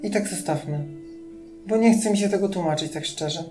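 A man co-hosting a podcast in casual chat, animated and exasperated, often repeating himself. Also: sits high, normal speed, soft background music. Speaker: podcast co-host.

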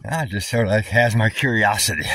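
Low-voiced man musing on a walk. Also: moderate pace, pushed and bright. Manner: musing, wondering aloud. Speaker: hiker vlogger. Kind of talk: casual walking commentary. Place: outdoors on a wooded trail. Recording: slightly distant mic, faint background noise.

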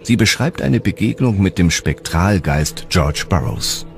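A man's voice: deep voice, expressive, measured pace, gravelly. A man reading scripted, dramatic narration as the narrator of a historical documentary. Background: music.

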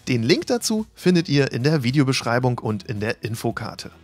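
A man with a slightly husky, mid-pitched voice narrates a scripted event report. His delivery is lively, with a wide, animated pitch range. A faint music bed plays under the voice-over.

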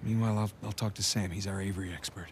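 A man's voice: fairly low pitch, steady tone, rapid-fire, a little hiss or hum, close to an everyday voice. An American man playing a wry, restless young treasure hunter in a video game, talking casually and often muttering to himself. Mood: earnest, hurried. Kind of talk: naturalistic video game acting. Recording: game ambience behind the voice.

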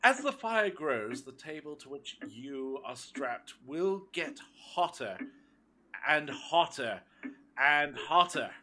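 An actor playing a cold, menacing captor in an audio drama, taunting and deliberate in a theatrical way. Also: male voice, on the high side, very animated, pushed and bright, exaggerated voice. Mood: gloating. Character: menacing cold male captor interrogating a prisoner. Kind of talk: fan audio drama acting.

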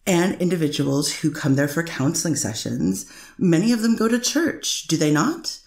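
A woman's voice: sits low, expressive, moderate pace, creaky, a little mellow. Woman attorney cross-examining in an echoey courtroom. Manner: leading questions, tag questions. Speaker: defense attorney.

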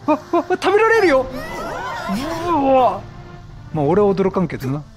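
Animated, chatty male game streamer. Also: very high-pitched, normal speed. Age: middle-aged. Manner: chatty, reactive, repeats phrases. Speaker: gaming streamer. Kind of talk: excitable casual let's play commentary. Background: game music.

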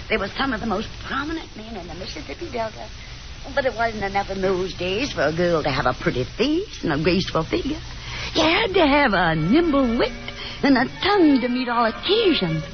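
High, swooping Southern mother. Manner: chatty, dramatic, scolding. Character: proud, nagging, nostalgic Southern mother, faded belle. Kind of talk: 1950s radio drama acting. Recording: dull, noisy 1950s radio recording.

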